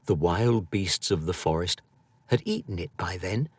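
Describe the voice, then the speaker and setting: Male audiobook narrator, lowish voice, unhurried, theatrical delivery, dry studio sound. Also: husky, no room sound. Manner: theatrical, expressive storytelling. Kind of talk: scripted storybook narration.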